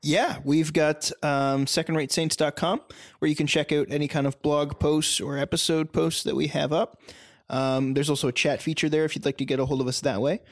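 A man's voice: a little high, steady tone, fairly quick, no room sound, clean studio sound. A young man co-hosting a casual podcast, rambling a little with many ums and uhs. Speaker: podcast co-host. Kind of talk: casual chat.

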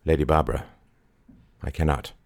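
A man's voice: a bass voice, steady tone, normal speed, creaky, no echo, exaggerated voice. A man voicing a sorrowful bailiff who speaks in a grave, formal way.

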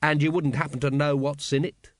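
A man's voice: fairly high pitch, little pitch movement, a little fast, dry recording, natural voice. Man as a weary, cynical watch captain. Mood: weary, dry. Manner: weary, dry, hesitant.

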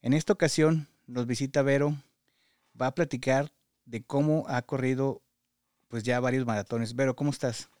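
A man's voice: mid-range voice, fairly even intonation, normal speed, a little mellow, dry recording, clean studio sound. A man with a Mexican Spanish accent hosts a podcast, interviewing a guest in a conversational way.

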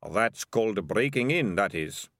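A man voicing a gruff, suspicious bully of a rat catcher with a creaking, menacing voice for a storybook. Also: lowish voice, expressive, a little slow, fairly bright tone, dry recording, clean studio sound. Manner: menacing, rough colloquial speech.

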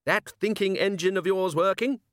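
A man voicing a loud, hearty, blustering older male archchancellor wizard in a storybook reading. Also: high-pitched, moderate pace, no room sound, studio quality, voice pushed up.

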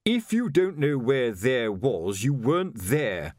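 A man voicing a stout middle-aged male sergeant who speaks slowly with quiet menace. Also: sing-song, dry recording, clean studio sound, natural voice. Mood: stern. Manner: slow, low, pointed.

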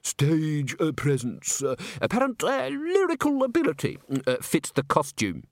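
A man acts out a bumbling male opera boss in a comic audiobook. He stammers and hums between words, jolly but unsure. His pitch jumps about and often lifts high. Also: wildly swooping pitch, moderate pace, dry recording. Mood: flustered, evasive. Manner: stammering, hemming and hawing.

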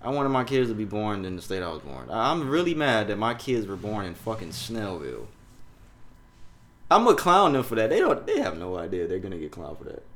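A young man from Jamaica hosts a loose, profane podcast chat. His pitch climbs and drops freely from one line to the next, and he flips between loud outbursts and near mumbles. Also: sing-song, brisk. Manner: loose, profane, laughing, swings loud and quiet. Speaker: podcast host. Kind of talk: casual podcast chat, reacting to a song.

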